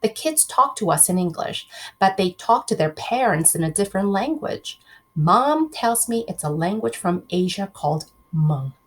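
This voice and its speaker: A woman's voice: mid-range voice, very animated, moderate pace. A woman teacher reading a children's book aloud, expressively, breaking off to question her pupils directly.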